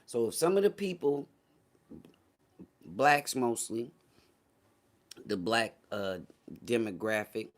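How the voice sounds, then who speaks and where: A man with a mid-pitched voice hosts a casual livestream. He talks straight to his chat in loose, slangy language with some swearing. He leans on key phrases and repeats them to drive his points home. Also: sing-song, slow pace, long pauses. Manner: slangy, profane, conversational; emphatic, repeats phrases for effect. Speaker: livestream host. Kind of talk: casual livestream political commentary, addressing a live chat.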